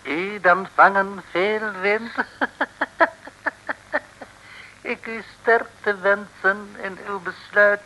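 Smug chinese villain from old radio comedy, piercing and slow, broken grammar, bit of noise. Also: male voice, high voice, steady tone, pushed and bright, exaggerated voice. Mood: smug menace. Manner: stilted broken Dutch, obsequiously formal, menacing. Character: sly, mock-polite Chinese male gang boss villain. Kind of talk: 1960s radio comedy serial acting. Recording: noisy old radio recording.

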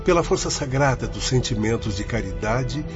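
A man's voice: mid-range voice, expressive, normal speed, lightly acted. A man playing an earnest, righteous spirit instructor in a radio drama, speaking solemnly and formally. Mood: solemn, explanatory. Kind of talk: scripted radio drama acting. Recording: dull lo-fi audio with music.

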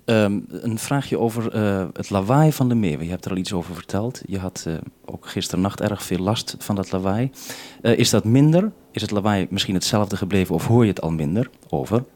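A man's voice: lowish voice, a little fast, hardly any pauses, noisy background. A man conducting a radio interview, asking questions in a formal, somewhat hesitant way.

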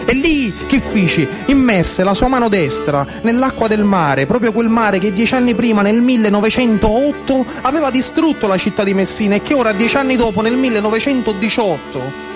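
A man's voice: sits high, lively intonation, normal speed. A man in his thirties with a Sicilian accent delivers a theatrical narrative monologue in dialect-tinged speech, with a declamatory, repetitive folk-storyteller's cadence. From Sicily, Italy. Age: 30s. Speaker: actor-storyteller. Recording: live music behind the voice. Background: live instrumental music.

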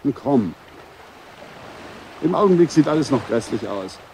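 An actor playing a composed, courteous man who probes discreetly in a German radio drama, speaking in a measured, polite way. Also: mid-range voice, very animated, dark tone, a little hiss or hum. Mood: soothing, urging.

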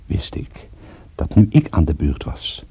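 An actor playing a weary, battered private detective, narrating a radio drama in a measured, grim way. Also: male voice, rumbling low, very animated, background noise, full character voice. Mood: tense. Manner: measured, grim, literary storytelling. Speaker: narrator and lead of a radio play. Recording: narrowband, muffled old radio recording.

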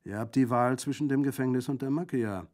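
A man's voice: medium pitch, fairly quick, a little mellow, pitched above the performer's own voice, natural voice. A man voicing a boisterous Corsican bandit in an audiobook, jovial and exclamatory, with a gleefully mocking, gruesome humour. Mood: urging, wry. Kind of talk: audiobook character acting.

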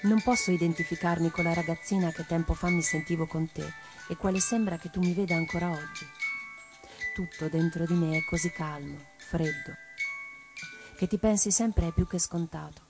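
An actress playing a composed, introspective woman revolutionary reciting her letters in a radio play, measured and intimate. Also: on the low side, steady tone, lightly acted. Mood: calm, detached. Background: mallet music.

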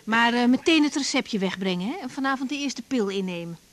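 A woman's voice: a little high, expressive, a little fast, close to an everyday voice. An actress playing a composed, direct young woman doctor in a naturalistic radio drama. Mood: brisk, professional. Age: young adult.

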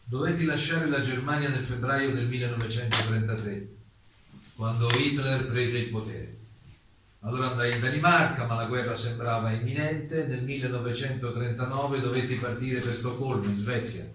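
An actor playing an exiled German playwright testifying at a hearing, polite, formal and insistent, in a staged dramatic reading. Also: male voice, medium pitch, steady tone, normal speed, lightly acted. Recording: distant mic, very echoey room, faint noise.

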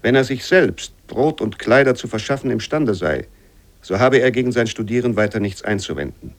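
A man reads a classic novel aloud in a mid-pitched voice. His phrasing is formal and measured, shaped for long literary sentences. The sound is dry, with hardly any room around it. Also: lively intonation, dry recording. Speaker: literary reader. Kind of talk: scripted literary narration, reading a novel aloud.

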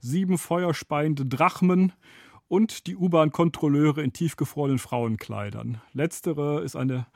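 A man's voice: fairly high pitch, a little slow, vocal fry, a little mellow, no echo. Man explaining in a dry studio. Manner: articulate, analytical, learned wording. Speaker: radio drama expert, studio guest. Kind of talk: explanatory studio interview talk.

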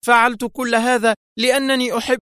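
A man voicing a hot-tempered, scheming woman crime boss in audiobook dialogue, sharp, agitated and insistent. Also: extremely high pitch, little pitch movement, brisk, clear, resonant tone, dry recording, clean studio sound, voice pushed up. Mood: furious.

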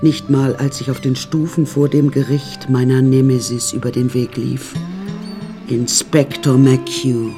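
An actress playing a self-possessed young woman who narrates her story in a dry, measured way in a radio drama. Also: rumbling low, level tone, music in the background. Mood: wry, composed.

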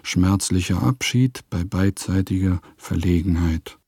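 An eccentric composer reading from his diary in a radio play. His voice is fairly low and recorded very dry and close. The phrasing is terse, literary and old-fashioned. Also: unhurried, darkish tone, breathy edge, no room sound, heavily acted. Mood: dry, reflective.

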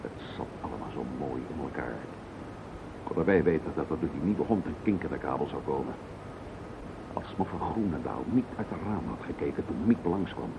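An actor playing a callous, scheming murderer in a radio play, blunt and cynical, with a gravelly voice. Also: male voice, extremely low pitch, expressive, brisk, full character voice. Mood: resigned, cynical. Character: callous scheming wife-murderer confessing without remorse. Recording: tinny, noisy old radio play recording.

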